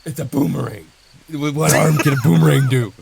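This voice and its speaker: Guy putting on a super gravelly whiny masked vigilante, stammery excuses, improv comedy audio drama, noisy w crickets. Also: a little high, fairly quick. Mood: bargaining, indignant. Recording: clear background noise with chirping crickets.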